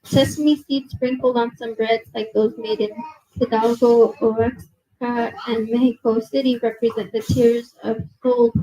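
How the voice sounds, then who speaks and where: Nervous young woman presenting, soft husky voice. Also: level tone, measured pace, low-quality recording. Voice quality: soft, low voice. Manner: nervous, apologetic, many fillers. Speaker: museum presenter. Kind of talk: reading prepared talk to a live audience. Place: museum gallery, indoors. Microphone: handheld mic held at a distance.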